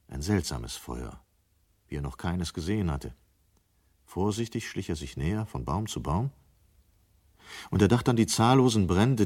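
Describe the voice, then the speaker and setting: Deep-voiced grave male storyteller. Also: darkish tone. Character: grave storyteller narrator of a dystopian science fiction tale. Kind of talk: scripted radio play narration. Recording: 1970 radio play studio recording.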